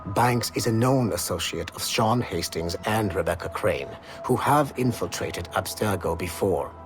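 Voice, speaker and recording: An actor playing a stern, businesslike field agent in a game audio log, speaking in a formal, clipped, matter-of-fact way. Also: male voice, sits low, animated, measured pace. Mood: resentful, firm. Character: stern, businesslike male Templar field agent hunting assassins. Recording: music under the voice.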